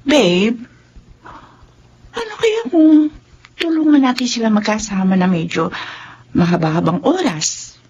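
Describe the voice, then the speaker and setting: Sweet young girlfriend: affectionate, raised pitch, lively swings, radio soap delivery. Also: unhurried, long pauses, lo-fi sound, a little hiss or hum. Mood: scheming, sweet. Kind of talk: Tagalog radio drama acting.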